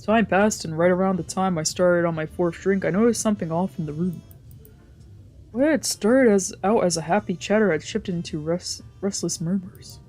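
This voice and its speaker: A streamer narrates a visual novel in the first person. The reading is dramatic and brooding, at a middle pitch, with game music underneath. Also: moderate pace, darkish tone. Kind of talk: dramatic narration with character voices.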